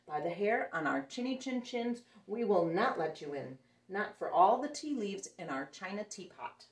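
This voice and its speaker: A woman voicing three small cuddly wolf cubs who refuse loudly and firmly, in a roomy room. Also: mid-range voice, very animated, moderate pace, recorded from a distance. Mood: defiant. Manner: loud, emphatic refusals. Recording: roomy echo.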